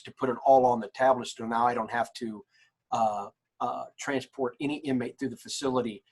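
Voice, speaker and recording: A man speaking informally as a county official on a conference call, halting and often repeating his words. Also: medium pitch. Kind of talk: informal meeting question.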